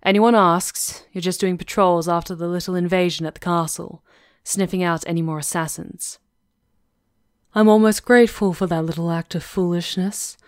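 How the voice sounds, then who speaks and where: Sly female witch conspirator for ASMR drama, acted by a woman: slightly low, deliberate, self-possessed. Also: steady tone, no room sound, clean studio sound, lightly acted. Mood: cautioning. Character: composed, sly female witch conspirator plotting against a countess. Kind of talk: ASMR roleplay acting.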